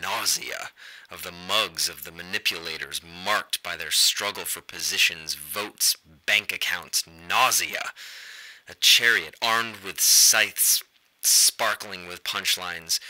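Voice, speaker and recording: A man acts out a world-weary, disgusted intellectual in a radio drama. His bitter, portentous monologue comes very slowly, in a low voice with a hard, forceful edge. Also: heavily acted, rough recording. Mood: bitter contempt.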